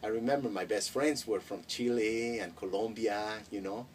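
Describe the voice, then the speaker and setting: Middle-aged man, Spanish first language, low voice heard from across the room, thoughtful and full of restarts. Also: moderate pace. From Mexico. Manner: reflective, many hesitations and restarts. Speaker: interviewee. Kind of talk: casual interview. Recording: distant mic with faint noise.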